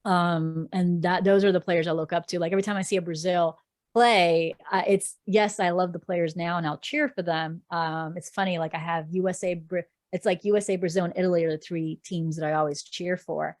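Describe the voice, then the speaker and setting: A woman's voice: on the low side, steady tone, a little fast, darkish tone, no echo, clean studio sound. A woman in her thirties whose first language is Portuguese, talking in a chatty, rambling way with frequent ums as a guest on a podcast interview. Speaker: sports reporter guest.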